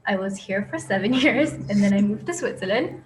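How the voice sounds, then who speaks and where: A woman's voice: mid-range voice, animated, fairly quick, a little hiss or hum. Chatty young woman interviewee. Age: 20s. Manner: chatty, frequent "like" and "kind of", laughs easily. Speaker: interviewee, architecture graduate. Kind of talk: casual personal interview.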